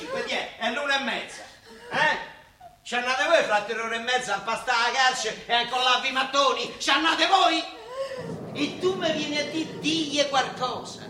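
An exasperated Roman working father, rants in a very high, strained pitch. His speech is full of sarcastic questions. He sounds distant in an echoing room, with a clearly audible audience. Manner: ranting, sarcastic rhetorical questions. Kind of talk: Roman dialect stage comedy acting. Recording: roomy, distant stage recording with audience noise.

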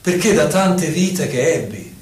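A man's voice: a little high, expressive, darkish tone. Echoey, distant man reciting poetry theatrically. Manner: theatrical, solemn, declamatory, with pauses between short phrases. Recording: echoey, distant, noisy live radio broadcast.

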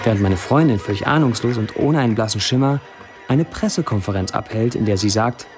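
A man's voice: lowish voice, lively intonation, a little fast, close to an everyday voice. Man playing sardonic blacksmith poet, music behind. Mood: wry. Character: sardonic male Syrian blacksmith writing Facebook posts. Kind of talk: literary monologue in a radio play.

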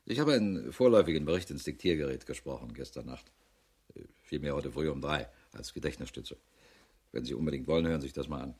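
An actor playing a harried, middle-aged police investigator in a radio drama, matter-of-fact and dry. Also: male voice, extremely low pitch, lively intonation, fast talker, darkish tone, slightly rough, heavily acted. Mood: weary, placating. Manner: matter-of-fact, dry, clipped official phrasing. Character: harried, world-weary male police investigator with a wife. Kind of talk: German radio drama acting, dictated report.

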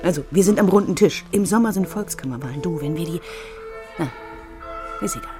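Woman acting worried ex girlfriend, lowish voice, earnest, radio play with background sound. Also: fairly quick, over music, natural voice. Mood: awkward, hurt. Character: earnest, concerned former girlfriend, newly a political activist. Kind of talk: radio crime drama acting.

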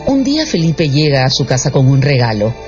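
A man's voice: mid-range voice, sing-song, brisk. A man narrating a radio dramatization in a scripted storytelling style. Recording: slightly dull sound with music underneath.

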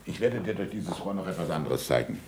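An actor in a 1960s German radio drama playing a passionate, impatient middle-aged astronomer, with emphatic stage diction and a gravelly, husky voice. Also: male voice, deep voice, expressive, fast talker, noisy background. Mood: intent, wondering. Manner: emphatic stage diction, swinging from murmurs to shouts.

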